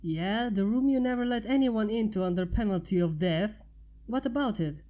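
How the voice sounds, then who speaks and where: A woman's voice: medium pitch, moderate pace, warm tone, dull audio, lightly acted. A woman voicing a blunt, teasing, skeptical female friend in a story reading. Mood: sarcastic. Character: sarcastic, skeptical woman friend, easily alarmed.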